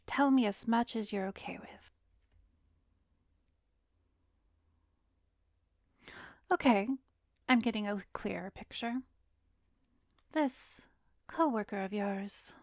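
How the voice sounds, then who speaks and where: Actress as soothing female therapist for ASMR roleplay: mid-range voice, soft and measured, drifting into whispers, very dry close-mic sound. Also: mid-range voice, no room sound, close to an everyday voice. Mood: calm, reassuring. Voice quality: soft, often slipping into breathy whisper. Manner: measured, gentle, professional, probing. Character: composed, soothing female therapist, secretly an arrogant vampire. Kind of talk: ASMR roleplay, addressed to the listener. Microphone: close studio microphone, dry.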